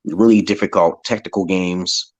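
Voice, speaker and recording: A man with a deep voice in casual, opinionated chat, talking in a loose, slangy way and laughing often. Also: fairly even intonation, moderate pace, darkish tone, husky, highs cut off. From United States. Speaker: conversation partner in an English chat.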